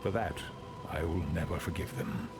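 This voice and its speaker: Deep-voiced, bitter, grieving widower. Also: male voice, lively intonation, moderate pace, gravelly. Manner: grave, brooding, formal. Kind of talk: video game voice acting.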